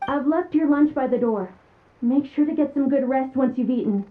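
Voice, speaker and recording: Caring shipmate voiced by a woman, high voice, narrow phone-like sound, faint noise. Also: normal speed, close to an everyday voice. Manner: concerned, motherly. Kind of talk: game cutscene voice acting.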